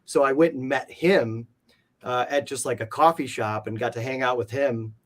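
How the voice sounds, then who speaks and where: A man's voice: medium pitch, moderate pace. Man chatting informally as an interview guest. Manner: informal, meandering, frequent "like". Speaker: interview guest, comic art collector. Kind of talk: casual conversational storytelling.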